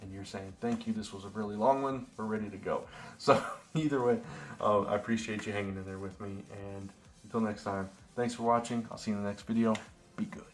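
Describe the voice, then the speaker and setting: A slightly hoarse young man talking casually to camera in a vlog, earnest and rambling with lots of fillers. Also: on the low side, steady tone, a little fast. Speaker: vlogger.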